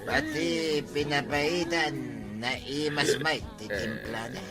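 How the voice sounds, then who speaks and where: A man's voice: a little high, takes it slowly, loud music behind the voice. A man with an Ilocano accent playing an easygoing, scheming tricycle driver and chummy drinking buddy in a comedy drama. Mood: contented. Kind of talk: comedy radio-style drama acting.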